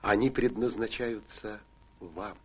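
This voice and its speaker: Very raspy, bashful gentleman. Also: fairly low pitch, slow pace, highs cut off, full character voice. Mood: flustered, bashful. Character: bashful, blustering gentleman back from India. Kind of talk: theatrical radio drama acting.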